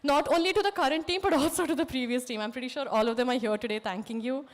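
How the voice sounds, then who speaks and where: A young woman hosting a live student event on stage, her voice hoarse from screaming, introducing the next guest with excited exclamations. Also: high-pitched, fast. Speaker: emcee and student association president.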